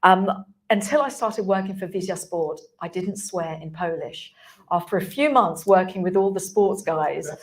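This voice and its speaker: A woman's voice: on the low side, normal speed. Chatty woman panelist. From England. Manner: candid, chatty, many restarts. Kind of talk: panel discussion. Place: conference hall.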